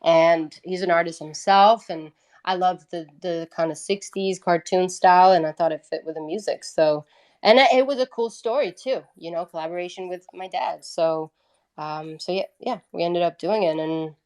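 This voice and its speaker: A woman from Italy, whose first language is Italian, talks as a guest in a casual interview. Her voice is a little low for a woman. She tells stories informally, slipping into quoted back-and-forth dialogue. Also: normal speed, a little mellow. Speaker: guest, independent musician. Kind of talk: casual conversational storytelling.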